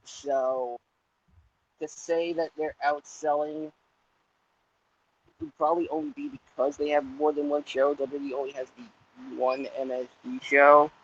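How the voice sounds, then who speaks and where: A man's voice: fairly high pitch, lively intonation, a little slow, a bit bright. A man co-hosting a casual wrestling podcast, dry and joking, often restarting his sentences. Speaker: podcast co-host. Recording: dull lo-fi podcast audio.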